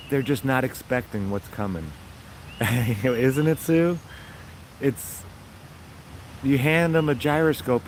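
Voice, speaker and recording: A man with a raspy voice, casually chatting to his viewers in a rambling, upbeat way. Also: medium pitch, a little hiss or hum. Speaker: vlogger.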